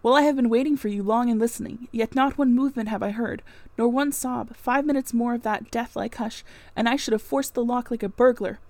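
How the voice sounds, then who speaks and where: A woman reading a brooding pleading gentleman. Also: on the high side, brisk, dry recording, close to an everyday voice. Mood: reproachful. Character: brooding, pleading gentleman lover, middle-aged.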